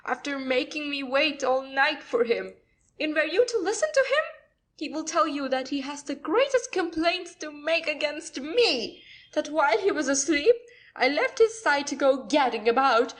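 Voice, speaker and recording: A woman plays a young wife in a classic comedy audio drama. Her voice is high and dramatic, pouring out scolding, aggrieved complaint as the scheming victim. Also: a bit bright.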